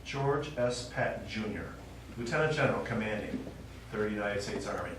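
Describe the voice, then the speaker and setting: A man's voice: on the low side, normal speed, natural voice. In an echoey theatre, heard from far off, a man plays a stubborn old widower on stage. He is a veteran and retired teacher, given to reminiscing and reciting. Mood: declaiming. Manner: reminiscing, quotes speeches and verse. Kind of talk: live stage play acting. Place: small indoor theatre stage. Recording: echoey theatre, distant mic, faint noise.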